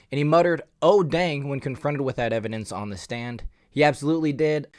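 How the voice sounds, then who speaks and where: A man's voice: mid-range voice, no echo. Man giving casual news commentary, dry sound. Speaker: commentary channel host. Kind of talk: reading news aloud, then casual opinion commentary.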